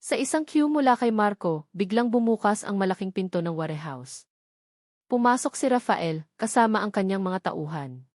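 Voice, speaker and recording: A woman's voice: medium pitch, animated, normal speed, darkish tone, no room sound. Woman narrating a romance drama, dry sound. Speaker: story narrator.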